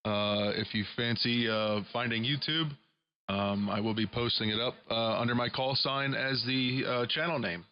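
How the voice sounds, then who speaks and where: A man's voice: medium pitch, steady tone, normal speed. A man, an amateur radio operator, chatting casually about technical things in an easygoing, slightly hesitant way. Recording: slightly dull audio, faint room noise.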